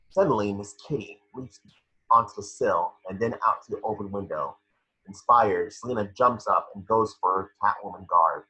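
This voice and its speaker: A man reading scene descriptions at an amateur table read, with a husky voice. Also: on the low side, sharp tone, poor audio.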